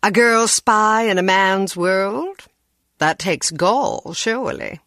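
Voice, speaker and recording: An actress playing a haughty, coolly cruel aristocratic villainess with a condescending, theatrical drawl in a video game. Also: female voice, medium pitch, animated, slow pace, a bit bright, dry recording, studio quality.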